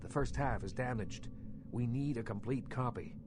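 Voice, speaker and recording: A man voicing an imperious, territorial underworld boss in a video game, speaking in formal, measured, eloquent phrases with cool menace. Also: medium pitch, background noise, natural voice. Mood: cool, businesslike. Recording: music behind the voice.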